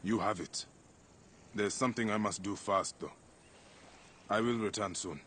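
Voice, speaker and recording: Solemn male warrior of ancient Egypt, game drama: deep, grave, considerate. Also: measured pace, fairly bright tone, slight background noise. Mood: grave, resolved. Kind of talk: dramatic video game cutscene dialogue.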